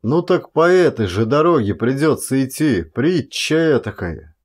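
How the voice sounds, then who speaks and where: A man's voice: mid-range voice, big pitch swings, measured pace, voice pushed up. A man voicing a reluctant, kind-hearted rustic forest watchman who grumbles in a folksy drawl in an audiobook story. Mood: exasperated.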